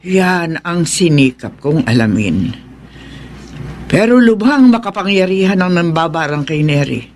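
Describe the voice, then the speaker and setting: An actor playing a worried village healer in a horror radio drama, speaking in a grave, measured, foreboding way. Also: male voice, a little high, big pitch swings, slight background noise. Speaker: folk healer (albularyo).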